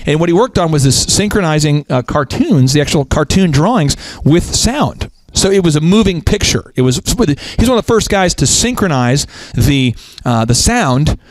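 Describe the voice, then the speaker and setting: Animated, emphatic male host with a higher voice. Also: dry recording. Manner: emphatic and preachy, with many restarts and repeated words; swoops up into high pitch for emphasis. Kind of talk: motivational business talk show.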